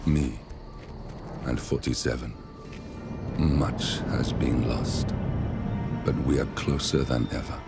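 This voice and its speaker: An actor playing a brooding, vengeful male rebel operative in a video game, with a measured, wry, intense manner. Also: rumbling low, steady tone, gravelly, loud music behind the voice, heavily acted. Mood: grave, resolute. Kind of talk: cinematic video game acting.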